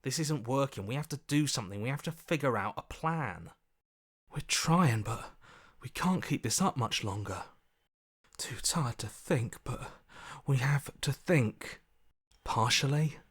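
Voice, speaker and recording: A man's voice: mid-range voice, lively intonation, measured pace, husky. A man playing an earnest, anxious hero for a video game, speaking in a plain, urgent, questioning way. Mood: strained, alarmed. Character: earnest, anxious heroic inner voice, adult man. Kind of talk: video game character acting.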